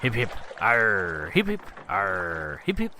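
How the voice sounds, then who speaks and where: Man doing a very slow pirate crier, bright voice, swooping pitch, faint boat and water noise, distant. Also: wildly swooping pitch, heavily acted. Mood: rousing. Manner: drawn-out pirate talk with "arr"s. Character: slow, grand male pirate leading cheers. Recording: distant, with sea and boat ambience. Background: water and boat sounds.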